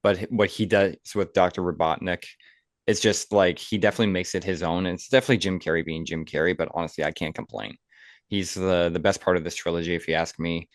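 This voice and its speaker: A man with a deep voice talks casually as a podcast co-host. He rambles through his points and stresses his opinions. The sound is close and dry, with very little room in it. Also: steady tone, quick pace, no echo.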